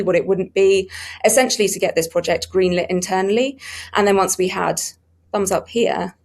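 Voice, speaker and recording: A woman's voice: mid-range voice, a little mellow. A woman giving conversational, enthusiastic and emphatic answers in an interview. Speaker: audiobook publishing interviewee.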